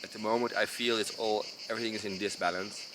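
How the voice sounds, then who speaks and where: Dutch man, deep low voice, casual hesitant explainer, clear crickets and insects in the background. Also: steady tone, fast, background noise. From Netherlands. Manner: hesitant, with many fillers and restarts. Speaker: designer explaining his approach. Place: outdoors in rural mountains.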